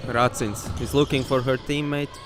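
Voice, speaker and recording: A man with a Latvian accent whose first language is Latvian, giving excitable, openly partisan live play-by-play commentary. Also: mid-range voice, normal speed, background noise. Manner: excitable, openly partisan, bursts into shouts on big plays. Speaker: sports commentator. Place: indoor sports hall.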